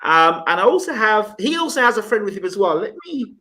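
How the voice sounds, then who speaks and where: A man hosts a live online talk show, chatty and welcoming with an easy joke. His voice sits high and rings with a bright, forward edge. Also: sing-song, a little fast. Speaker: host.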